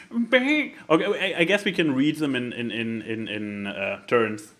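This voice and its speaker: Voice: medium pitch, takes it slowly, fairly bright tone. A podcast co-host in casual chat, commenting in a theatrical, emphatic way and stumbling over words.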